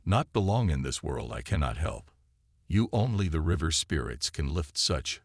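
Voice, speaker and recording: A man voicing a wise woman village healer in a folktale, in a low, grave voice. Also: big pitch swings, normal speed, breathy edge, no echo, studio quality, heavily acted.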